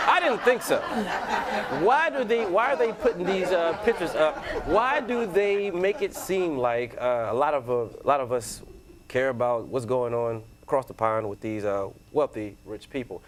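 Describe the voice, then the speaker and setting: A man's voice: a little high, lively intonation, unhurried, slight background noise. A man hosting a comedic panel discussion, teasing guests and laughing often. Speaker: talk show host.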